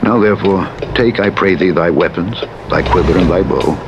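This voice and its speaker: A man narrating the Bible as a scripted reading, in a solemn, measured manner. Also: low-pitched, muffled recording. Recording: loud truck engine noise over playback. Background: virtual truck driving sounds from a simulator game.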